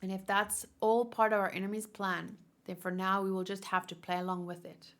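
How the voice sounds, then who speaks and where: A stern manga military commander, read by a woman, grave and decisive. The delivery is measured and commanding, at a middle pitch. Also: level tone, a bit bright, lightly acted. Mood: grave, resolute.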